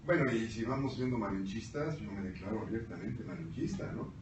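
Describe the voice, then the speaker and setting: A man's voice: on the low side, animated, brisk, slight background noise. A Mexican man co-hosting a casual panel chat, telling wry stories with a Mexican Spanish accent. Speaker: co-host. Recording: very echoey room, mic at a distance.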